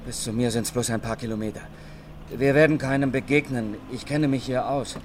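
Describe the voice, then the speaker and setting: A man's voice: mid-range voice, a little fast, lightly acted. A male actor as a bitter, nervous middle-aged man in a radio crime drama. He talks in a confiding, self-justifying ramble, like someone who despises his own cowardice. Faint ambient noise runs behind him. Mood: wary. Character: embittered, self-loathing unemployed racetrack technician, nervous and talkative.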